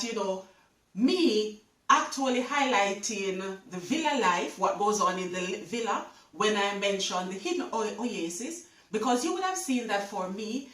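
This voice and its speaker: A woman's voice: mid-range voice, fairly bright tone, echoey room, recorded from a distance, rough recording. A middle-aged woman from Jamaica with a Jamaican accent, chatting to camera as a travel vlogger, repeating words and talking straight to her viewers. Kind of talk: casual talk to camera.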